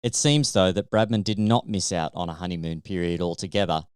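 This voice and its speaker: A man's voice: low voice, brisk, smooth, no room sound, studio quality. A man reading his own light-hearted essay aloud as a scripted narration. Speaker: author and podcast host reading own book.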